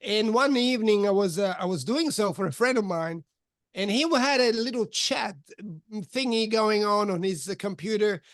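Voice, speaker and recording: A man in his fifties who grew up in Switzerland, telling his life story as a podcast guest, halting with many fillers and restarts. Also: sits high, a bit bright, no room sound, clean studio sound. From Switzerland, of Italian parents. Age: 50s. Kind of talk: candid life-story interview.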